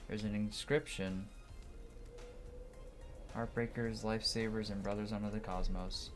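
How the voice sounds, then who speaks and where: A man's voice: lowish voice, flat delivery, a little slow. Man musing over a game, music behind. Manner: muses aloud to himself. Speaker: gamer doing a playthrough. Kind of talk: gameplay commentary and reading game text aloud.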